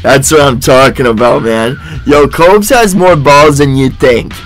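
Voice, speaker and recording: A man's voice: mid-range voice, unhurried, a bit bright, soft background music. A man with a stuffed-up nose giving mocking reaction commentary, laughing often. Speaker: commentary host. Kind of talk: reaction commentary over a stream clip. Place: voice-over, not the pictured room.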